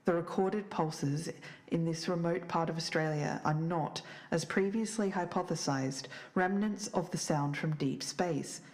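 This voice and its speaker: A woman playing an earnest, faintly bitter podcast investigator, speaking in a measured, solemn way as if reading evidence aloud. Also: lowish voice, a little mellow, lightly acted. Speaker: podcast co-host. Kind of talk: scripted fiction-podcast narration.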